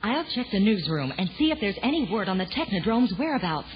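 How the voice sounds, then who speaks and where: A woman's voice: medium pitch, sing-song, a little fast, lightly acted. A woman playing a determined, exasperated young news reporter in a children's audio drama on dull tape. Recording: dull lo-fi tape, music behind.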